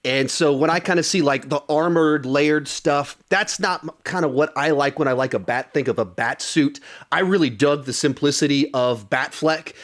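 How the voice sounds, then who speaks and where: A man's voice: on the high side, moderate pace. A man hosting a podcast in a casual, conversational style. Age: adult with young child. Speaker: podcast host.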